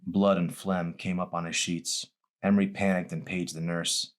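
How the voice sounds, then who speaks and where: Male audiobook narrator, low register, author reading scripted fiction. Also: little pitch movement, normal speed. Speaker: author reading own novel.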